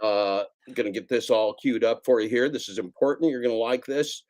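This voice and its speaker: A man's voice: medium pitch, brisk, a little mellow. A man hosting a casual livestream, rambling and repeating himself with a sarcastic edge. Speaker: streamer host.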